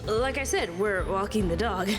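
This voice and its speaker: A woman's voice: medium pitch, lively intonation, moderate pace, music in the background, close to an everyday voice. A woman voicing a guarded, exasperated young woman in a video game, with a terse way of talking. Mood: evasive.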